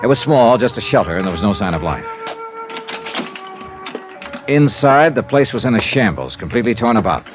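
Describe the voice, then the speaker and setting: A man's voice: lowish voice, big pitch swings, moderate pace, pauses often. An actor playing a cool, tough American investigator, narrating his case in clipped, dramatic 1950s radio drama style. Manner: clipped, dramatic first-person storytelling. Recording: dull old radio recording, loud music.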